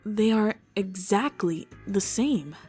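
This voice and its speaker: A woman voicing a calm, methodical female doctor who speaks in a measured, matter-of-fact way. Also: mid-range voice, breathy edge, faint music behind. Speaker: voice actor in a fan game dub.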